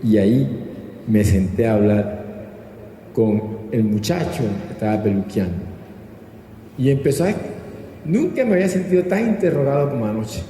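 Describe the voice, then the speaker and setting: A man's voice: mid-range voice, lively intonation, normal speed, long pauses, dark tone. A man with a Colombian accent preaching a sermon as a pastor, teaching with rhetorical questions and asking listeners to repeat after him. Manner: didactic, prompting listeners to repeat and answer. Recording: echoey hall with long reverb, background noise.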